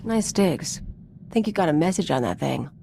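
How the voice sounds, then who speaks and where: Mellow, irreverent mercenary sidekick. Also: female voice, low voice, lively intonation, moderate pace, creaky, a little hiss or hum. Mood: offhand. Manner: casual, offhand. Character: laid-back, irreverent female mercenary companion. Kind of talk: scripted game dialogue.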